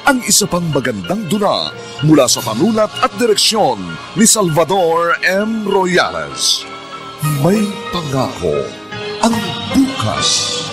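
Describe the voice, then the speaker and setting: A man's voice: on the high side, huge pitch swings, slow pace, loud music behind the voice. A man with a Filipino accent announcing a radio drama in a grand, theatrical scripted style.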